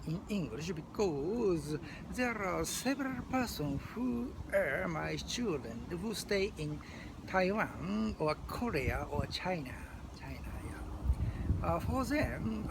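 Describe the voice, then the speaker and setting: Older Japanese-accented man, video diarist, high lively voice, slow, halting; traffic noise behind. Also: gravelly. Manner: halting, many fillers and restarts. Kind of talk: unscripted video diary.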